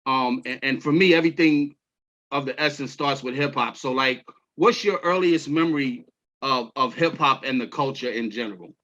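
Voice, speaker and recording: A man's voice: mid-range voice, pushed and bright. A man from Queens with a New York accent, hosting a casual interview and agreeing often. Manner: easygoing, quick agreeing backchannels. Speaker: podcast host. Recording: dull lo-fi sound.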